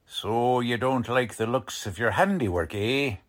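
A man playing a gruff, impatient, bullying 1940s police lieutenant in radio drama style, with slangy tough-cop talk. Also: mid-range voice, a little slow, natural voice. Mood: accusing, impatient.

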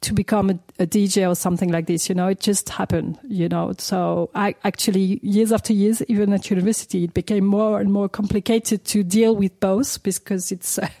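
A mellow, warm-toned woman talks through her answers in a relaxed interview. She often restarts her sentences and laughs lightly as she searches for words. The sound is dry and close, with no room around her. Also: mid-range voice, fairly quick, few pauses, no echo. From France (Paris). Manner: hesitant, many restarts, laughs between phrases. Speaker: guest, DJ and producer.

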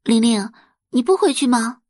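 A woman's voice: on the high side, expressive, normal speed, a little mellow, no room sound, studio quality. A woman voicing a sweet, solicitous teenage schoolgirl from a rich family, with a gushing friendly manner, in an audio drama. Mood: sweetly friendly.

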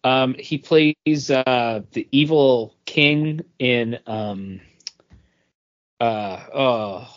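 A man co-hosting a casual entertainment news chat, halting as he searches for words. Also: medium pitch. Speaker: podcast co-host presenting news. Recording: dull lo-fi sound.

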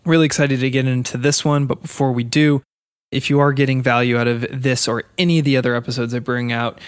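A man's voice: medium pitch, clear, resonant tone, no room sound. Man hosting a podcast, dry sound. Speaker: podcast host. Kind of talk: podcast introduction and interviewing.

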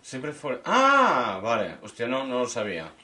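Bright-voiced man with Catalan accent. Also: medium pitch, wildly swooping pitch, moderate pace. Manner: jokey, slips into Catalan. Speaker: podcast co-host. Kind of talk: casual banter.

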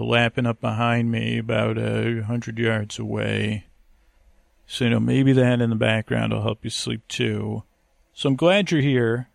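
A man hosting a sleep podcast in a creaky, gravelly voice, wandering through a halting, self-deprecating bedtime monologue. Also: medium pitch, no room sound, clean studio sound. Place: wood-panelled lakeside cabin bedroom.

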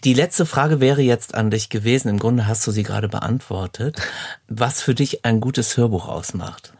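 Creaky, husky male interviewer. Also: medium pitch, moderate pace, darkish tone, dry recording, studio quality. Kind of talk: casual interview conversation.

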